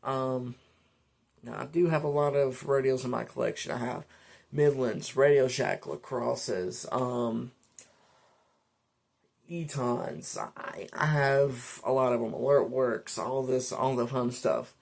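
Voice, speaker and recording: A man's voice: medium pitch, slow pace, gravelly, darkish tone. A man talking casually and in a rambling, conversational way as the host of a hobby product video. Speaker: host of a weather radio channel. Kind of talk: casual product talk to camera.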